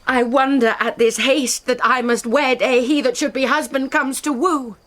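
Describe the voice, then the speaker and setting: High-voiced, passionate young bride. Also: female voice, steady tone, normal speed, a bit bright. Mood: defiant. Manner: heightened, poetic, earnest delivery. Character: passionate, grief-stricken young noble bride. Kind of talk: classical Shakespearean radio drama acting.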